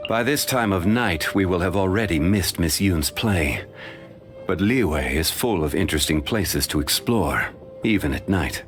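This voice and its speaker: Game role: an ageless, dignified scholar-gentleman, very deep and unhurried, formal and polite, faint music behind. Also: lively intonation. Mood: calm, formal. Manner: measured, formal and courteous. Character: ancient, dignified man, calm and learned consultant. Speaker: voice actor. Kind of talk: video game voice lines.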